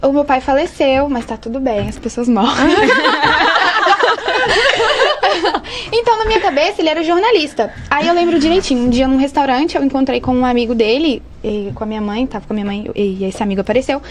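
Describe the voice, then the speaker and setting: A woman's voice: high voice, fairly quick, slight background noise. A young Brazilian woman telling personal stories casually and informally as a podcast guest. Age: young adult. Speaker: advertising student, podcast guest. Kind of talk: casual conversational storytelling.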